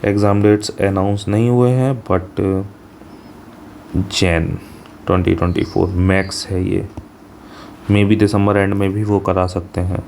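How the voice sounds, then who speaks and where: A man's voice: sits low, steady tone, a little slow, vocal fry, long pauses, darkish tone, a little hiss or hum. An Indian man teaching in an informal explainer style, mixing Hindi and English and often adding short check-in tags. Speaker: exam coaching teacher. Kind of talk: informal explainer of a job notification.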